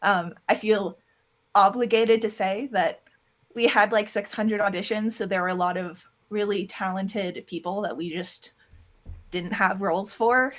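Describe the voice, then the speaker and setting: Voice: mid-range voice, steady tone, unhurried, a bit bright. Casual, rambling audio drama producer, feminine-sounding voice. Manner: rambling, with self-quoting asides. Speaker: interview guest, audio drama producer and voice actor. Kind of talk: casual explanatory interview talk.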